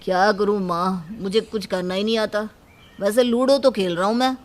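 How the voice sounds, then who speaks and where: A woman playing a lazy, dim-witted young son with a sheepish manner in an animated story. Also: mid-range voice, moderate pace, background noise, lightly acted. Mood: sheepish, whining. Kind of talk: animated moral story acting.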